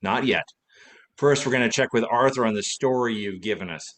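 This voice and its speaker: A man's voice: mid-range voice, fairly quick, a bit bright, lightly acted. A man playing a wisecracking, commanding former private detective with a clipped, interrogating manner in an old-time radio play. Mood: probing.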